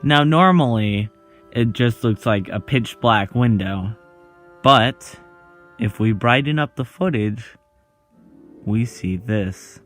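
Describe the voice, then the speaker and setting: A man narrating a scripted video essay as its host, pausing dramatically between short phrases. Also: mid-range voice, fairly bright tone, soft background music.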